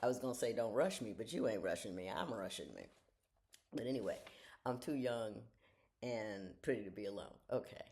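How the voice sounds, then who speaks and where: A woman in her sixties talking casually to viewers from home in the early morning, giving frank, folksy advice and sometimes dropping to a soft half-whisper. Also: a bass voice, animated, a little fast, a little hiss or hum. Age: 60s. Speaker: retired judge giving life advice. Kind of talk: casual reflective talk to viewers. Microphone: camera she leans toward.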